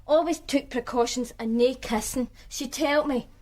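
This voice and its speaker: A guarded, streetwise Glasgow woman with a broad Glaswegian accent in a radio play. Her voice sits a little high, and she answers questions in a dry, sardonic, plainspoken way that gives little away. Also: moderate pace, darkish tone. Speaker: witness, flatmate of the victim. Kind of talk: radio drama acting.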